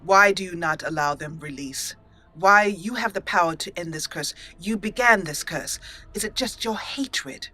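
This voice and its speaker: A woman playing an earnest young elf mage, bright-voiced. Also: lowish voice. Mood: reproachful. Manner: measured, solemn role-play reading.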